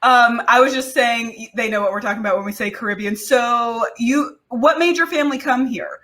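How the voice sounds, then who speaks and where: A woman hosting a casual podcast interview over a video call, upbeat and quick to laugh. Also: a little high, sharp tone. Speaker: podcast host.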